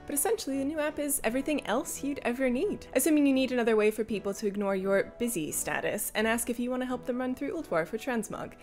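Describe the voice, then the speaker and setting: A woman's voice: fairly high pitch, fast, hardly any pauses, a little mellow, faint music behind. A woman co-hosting a gaming news show, reading scripted comic tech news in a wry, emphatic way.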